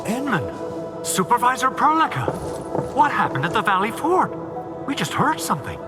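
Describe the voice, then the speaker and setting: A man playing an energetic, emphatic engineer who urgently rallies his crew in a video game. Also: extremely high pitch, moderate pace, music in the background, heavily acted. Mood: alarmed. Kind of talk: video game character acting.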